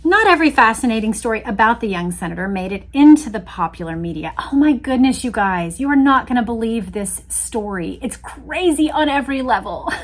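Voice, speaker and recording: A woman with a fairly high voice hosts a read-along. She reads narrative prose expressively and slips into casual, engaged commentary. She speaks directly to the people listening. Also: animated, fairly quick, hardly any pauses. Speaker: host reading a book aloud with commentary.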